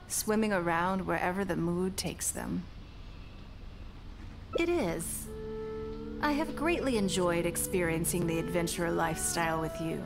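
A woman voicing a poised, shrewd, wealthy businesswoman in a video game, speaking in a measured, genteel, lightly teasing way. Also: on the low side. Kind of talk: video game dialogue acting. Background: background music.

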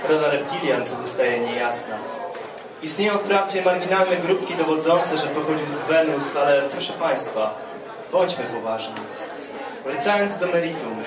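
A man reads a satirical piece like a mock lecture, deadpan and serious. His voice is fairly high, heard in an echoey venue with an audience around. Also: moderate pace, a crowd in the background. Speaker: poet reading own work at a festival. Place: dark stage at a live poetry event, indoors. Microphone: microphone on a stand, heard through room. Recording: echoey live stage sound, distant, audience around.

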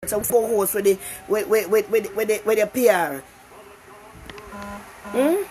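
A woman's voice: mid-range voice, unhurried, warm tone, faint music behind. An older Jamaican woman hosting a casual live-stream talk show, chatting animatedly with viewers from her living room and repeating herself for emphasis. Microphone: phone camera close up.

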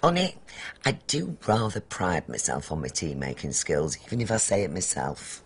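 A man's voice: low voice, huge pitch swings, normal speed, gravelly, slight background noise, full character voice. A man playing a chatty, fussy, self-satisfied married woman in a comedy bedtime sketch, with coy, needling prattle. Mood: smug, fussy. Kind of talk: domestic comedy sketch dialogue.

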